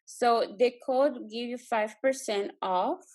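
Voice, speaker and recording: A woman's voice: on the high side, slow, darkish tone. A woman from Congo chatting earnestly and from the heart as a guest on a video call. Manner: earnest, heartfelt, with frequent fillers. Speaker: guest entrepreneur. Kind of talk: casual video chat.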